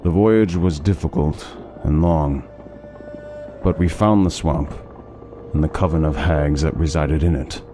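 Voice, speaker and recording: A man playing a courteous, learned, melancholy traveling scholar in a fantasy audio drama, speaking in measured, formal phrases with music behind him. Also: rumbling low, fairly even intonation. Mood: somber, wistful. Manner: measured, formal, articulate storytelling.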